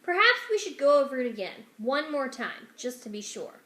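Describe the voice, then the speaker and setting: A woman's voice: high voice, very animated, normal speed, bright, forceful tone, heavily acted. A scheming storybook rat, acted out by a woman, speaks in a roomy echo. He is smug and condescending, wrapping his impatience in fussy, formal politeness.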